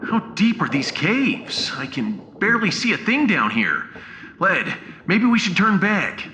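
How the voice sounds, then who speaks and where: A bright, higher-pitched spaceship captain played by a man in a sci-fi adventure, excitable yet wary. His exclamatory lines leap around in pitch through an echoey, faintly noisy recording. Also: a little slow, exaggerated voice. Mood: nervous. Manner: animated, exclamatory. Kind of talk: sci-fi adventure audio drama. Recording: echoey reverb with faint noise.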